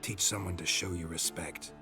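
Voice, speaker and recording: An actor playing a stoic, grey-haired veteran warrior in a fantasy game, dry, terse and deadpan. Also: male voice, deep voice, moderate pace, music in the background, natural voice. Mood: grave, measured.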